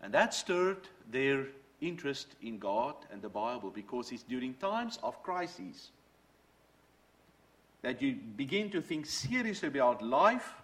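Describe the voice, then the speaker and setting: Earnest middle-aged preacher, lively pitch. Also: male voice, measured pace. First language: Dutch. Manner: earnest, storytelling, quotes from books. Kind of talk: sermon with personal testimony.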